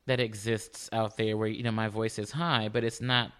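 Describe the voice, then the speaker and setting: A man who sings countertenor, speaking in a high voice, talking earnestly and warmly in a casual interview. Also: level tone, normal speed, clear, resonant tone. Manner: earnest, warm, with frequent restarts. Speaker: countertenor singer, guest.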